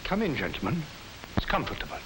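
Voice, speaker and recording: Casting: deliberate, didactic professor, higher-set animated voice, defiant dignity, vintage 1940s film sound. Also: male voice, roomy sound, noisy background. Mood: firm, defiant. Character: dignified, defiant German professor lecturing his students. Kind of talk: 1940s film acting, classroom lecture.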